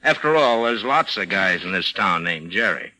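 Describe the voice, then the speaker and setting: An actor playing a dry, needling homicide lieutenant, sardonic and slyly suspicious, in an old-time radio crime drama. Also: male voice, deep voice, expressive, moderate pace, pushed and bright, heavily acted. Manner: sardonic, probing. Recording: noisy old radio recording.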